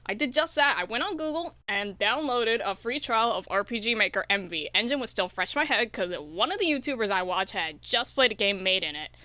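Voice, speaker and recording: A young woman with a bright, fairly high voice talks casually as an interview guest. She tells her stories with animated, dramatic emphasis and slangy phrasing. The sound is dry, with hardly any room on it. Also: fairly quick, few pauses, no room sound. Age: late teens to about twenty. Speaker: podcast guest, indie visual novel developer. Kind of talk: casual enthusiastic interview chat.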